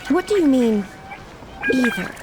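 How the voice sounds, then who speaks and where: A woman playing a calm, proud, regal female ruler in a video game, speaking in a formal, stately manner. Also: high-pitched, a little slow, heavily acted, rough recording. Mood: calm, aloof. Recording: music playing behind the voice.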